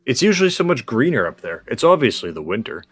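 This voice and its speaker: A man chatting casually as a game co-host in a measured, explanatory way. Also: mid-range voice, sing-song, husky, no room sound. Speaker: gaming co-host. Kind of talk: casual chat during a game.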